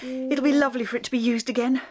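High-voiced, gushing English young lady. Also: steady tone, quick pace. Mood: tender. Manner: affectionate, genteel, exclamatory. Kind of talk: full-cast radio dramatisation.

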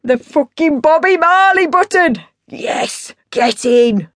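A woman's voice: fairly high pitch, normal speed, no room sound, studio quality, natural voice. A woman voicing a drunk, boastful, sweary middle-aged father with big musical dreams, in a bombastic, declamatory way, in an audiobook story. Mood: exultant.

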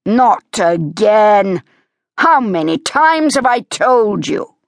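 A woman voicing a short, energetic father who scolds his daughter in an exasperated way. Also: medium pitch, slow, dry recording, studio quality, voice pushed up. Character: short, brisk, fussing father, a dynamo of a man.

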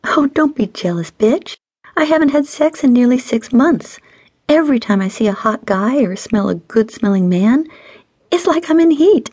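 A woman's voice: a little high, lively intonation, moderate pace, mellow, husky, no echo, voice pushed up. A woman narrator playing a flirty, pouting single woman with a teasing whine in an audiobook story. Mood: whiny, pouting.

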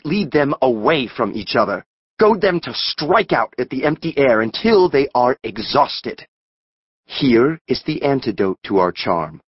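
Imperious fairy king for Shakespeare audiobook: urgent, declamatory, measured pace, very dry sound. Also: medium pitch, slightly rough, dry recording, lo-fi sound. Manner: theatrical, declamatory. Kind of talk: full-cast Shakespeare audiobook acting.

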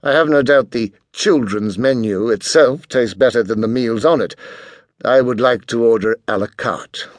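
A man's voice: medium pitch, normal speed, dry recording, lightly acted. A man voicing a haughty, precocious teenage boy genius with a pompous, precise, formal way of speaking. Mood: haughty, cutting.

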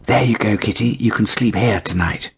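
A man's voice: fairly low pitch, normal speed, breathy edge. A man voicing kind, worried little girls in a children's storybook, with an earnest, caring manner. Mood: tender.

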